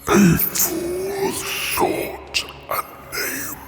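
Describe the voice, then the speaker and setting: A man voicing an ominous, ancient inhuman beast in a roleplay tale, with a breathy half-whispered growl and portentous delivery. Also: very high voice, huge pitch swings, noisy background, pitched above the performer's own voice, heavily acted. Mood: ominous, grim. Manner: slow, drawn-out, portentous delivery. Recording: music under the voice.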